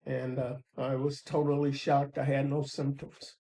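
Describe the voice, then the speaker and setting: A man in his seventies, a retired doctor, recounting his experience in a documentary interview, slow and halting, with many fillers. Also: mid-range voice, completely flat delivery, warm tone. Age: 70s.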